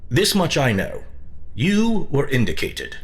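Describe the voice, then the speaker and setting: An actor playing a suave, mysterious manor host in an audio drama, grandiloquent, teasing and evasive. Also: male voice, medium pitch, huge pitch swings, takes it slowly, full character voice.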